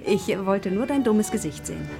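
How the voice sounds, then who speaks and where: A female police detective in a radio crime drama. Her voice sits at medium pitch, and she speaks with a cool, dry, teasing manner, with music faintly underneath. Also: animated, moderate pace, creaky.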